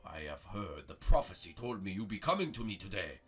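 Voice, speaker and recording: Pompous prophetic guide acted by a man, low and raspy, solemn and touchy, for a homemade adventure skit. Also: very animated, a bit bright, exaggerated voice. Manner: pompous, slow proclaiming. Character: self-important mystical male guide, solemn and easily offended.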